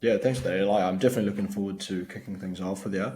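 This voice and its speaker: A young man with a New Zealand accent, co-hosting a casual chat in a halting, filler-heavy way. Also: low-pitched, fairly even intonation, warm tone. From born in Sri Lanka, grew up in New Zealand. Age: young adult. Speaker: podcast co-host.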